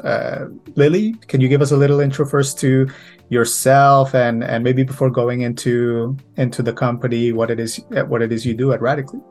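Male host, mid pitch, casual and hesitant intro, faint background music. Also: little pitch movement, normal speed, darkish tone. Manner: hesitant, many fillers. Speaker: podcast host. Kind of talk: casual interview hosting.

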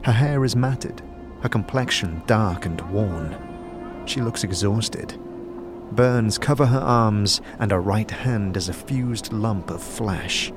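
Male narrator for a fantasy audio drama, lowish pitch, dramatic storytelling, clear music underneath. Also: takes it slowly. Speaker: narrator, game master. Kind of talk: dramatic second-person scripted narration. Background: music bed.

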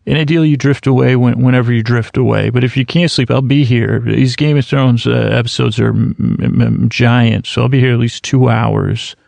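Sleep podcast host, man, 30s: very gravelly, monotone meanderer, dry close mic. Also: mid-range voice, no room sound. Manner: slow, meandering, halting with many restarts and fillers. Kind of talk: rambling bedtime monologue.

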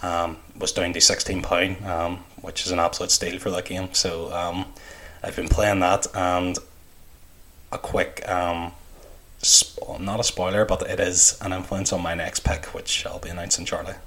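A man's voice: low-pitched, flat delivery, normal speed, sharp tone. A man co-hosting a podcast in casual conversation. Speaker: podcast co-host. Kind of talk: casual chat.

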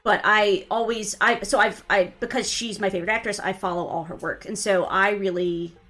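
A woman's voice: mid-range voice, steady tone, moderate pace, bright, forceful tone. A woman co-hosting a podcast who tells stories in a big, chatty voice. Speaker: podcast co-host. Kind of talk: casual chat.

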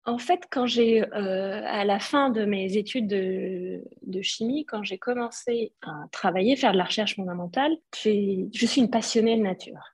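A woman's voice: medium pitch, normal speed, few pauses, darkish tone, husky, dry recording. A woman from Algeria whose first language is Arabic, a brand founder telling her story in an interview, reflective and hesitant, with many fillers. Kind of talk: podcast interview.

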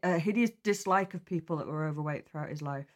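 A woman's voice: deep voice, quick pace. A woman hosting a casual chat interview, jokey and informal as she reacts and asks questions. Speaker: podcast host and interviewer.

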